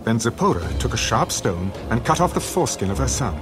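Male scripture narrator, mid-pitched, lively intonation, dramatic scripted read over a clear score. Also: a little fast. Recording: music behind the narration.

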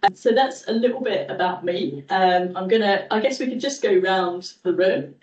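A woman hosting a round-table meeting, relaxed and inviting. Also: medium pitch, normal speed. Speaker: host. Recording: distant, echoey, dull lo-fi room sound.

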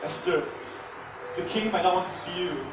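A man's voice: high-pitched, normal speed, lightly acted. A man playing a worried confidant who earnestly cautions a queen in a live stage drama. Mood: worried warning. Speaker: stage actor. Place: live stage, picked up from afar. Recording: echoey hall from far off, loud noise.

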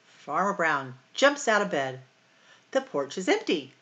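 A middle-aged woman hosting a library storytime, reading picture books aloud in a deliberate, expressive way for young children. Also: lowish voice, lo-fi sound. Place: small room at home or office, indoors.

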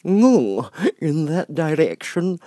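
A mad storybook cat with a high, swooping voice. He teases languidly, stretching words very slowly and punctuating them with giggles and hums. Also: very animated, mellow, no echo, studio quality. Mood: gleefully mad. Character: grinning, mad, languidly teasing male Cheshire cat.

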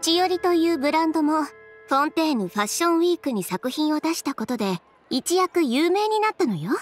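A young woman designer in a video game, self-assured and sharp-tongued. Her high voice moves animatedly through brisk, dryly teasing lines, with soft music underneath. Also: very animated. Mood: assured, explaining. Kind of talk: video game voice acting in Japanese.

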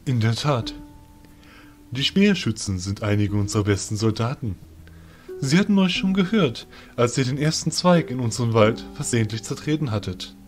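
A man's voice: mid-range voice, sing-song, soft background music, close to an everyday voice. A man acting a proud, courteous fairy soldier guide with a formal manner in a fantasy audio drama. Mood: proud, assured. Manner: measured, formal.

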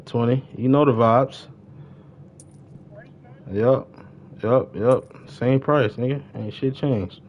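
A man's voice: medium pitch, slow, long pauses, highs cut off, slight background noise. A man livestreaming a game, chatting casually in slang and often repeating his phrases. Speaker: livestreamer and gamer. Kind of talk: casual gaming livestream banter.

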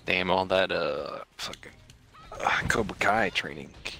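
Man, low gravelly voice, very slow drawl, lively pitch, laid-back joker. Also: soft background music. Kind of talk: casual gaming chat.

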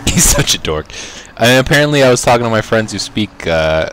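A man's voice: medium pitch, big pitch swings, a little fast, fairly bright tone, slight background noise, rough recording. A man co-hosting a video game session, bantering casually and laughing often. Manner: laughs often, joking and self-aware. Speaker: gameplay co-host. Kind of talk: casual let's-play banter.

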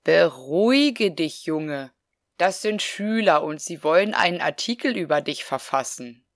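Actor as fussing older mother, chatty, naive, slow, very dry close sound, parody audio drama. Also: medium pitch, lively intonation, no room sound. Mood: sweetly fussing. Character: doting, naive older mother of a volatile son. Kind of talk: parody detective audio play.

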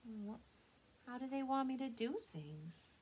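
A woman's voice: expressive, a little mellow, slightly rough, full character voice. A woman doing Elmer, a timid little duckling boy. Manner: slow, high and hesitant. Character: small timid young boy duckling, sensitive.

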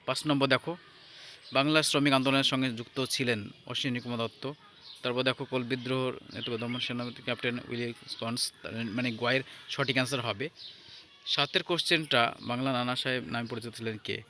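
A man speaks as an informal exam tutor with a bright, forceful voice. He goes along haltingly, repeating himself and checking that listeners follow. Faint noise sits behind him. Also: mid-range voice, fairly even intonation. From India. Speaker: exam tutor presenting answer keys. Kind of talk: informal lesson walkthrough.

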